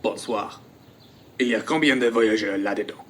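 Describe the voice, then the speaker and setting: A man's voice: fairly low pitch, moderate pace, a little hiss or hum, close to an everyday voice. A man playing a terse, commanding Prussian army officer in a radio play, official in manner. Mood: stern. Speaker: student actor. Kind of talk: radio play acting in French.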